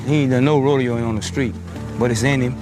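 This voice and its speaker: A man's voice: medium pitch, fairly even intonation, fairly quick, over loud music. A man with a Southern American accent chatting casually. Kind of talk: casual chat.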